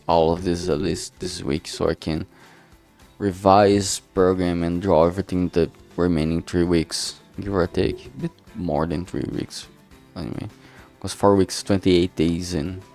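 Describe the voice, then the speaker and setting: A man's voice: deep voice, a little mellow. A man chatting casually on a work stream, thinking aloud hesitantly and often trailing off. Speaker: streamer making a visual novel.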